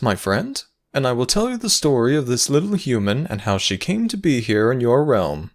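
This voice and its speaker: A man playing an urbane, theatrical storytelling god in an audio roleplay, speaking grandly and deliberately with dramatic pauses. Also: mid-range voice, vocal fry, exaggerated voice. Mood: sly, courtly.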